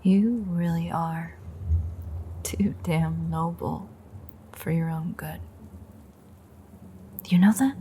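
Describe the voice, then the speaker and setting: Woman acting a creaky, sardonic vampire woman. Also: low-pitched, dark tone, a little hiss or hum. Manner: sardonic, profane, taunting. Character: bitter, threatening female vampire, reluctant old enemy. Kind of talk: intimate audio roleplay monologue.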